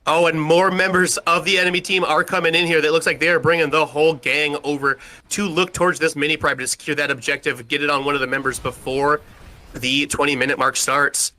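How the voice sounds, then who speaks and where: A man calling an esports match as play-by-play caster, with a loud, powerful voice. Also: a little high. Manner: rapid, energetic play-by-play. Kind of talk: play-by-play commentary.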